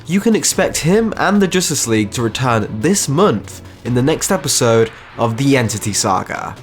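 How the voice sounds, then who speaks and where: A man giving scripted narration as the narrator and creator of a story channel. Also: mid-range voice.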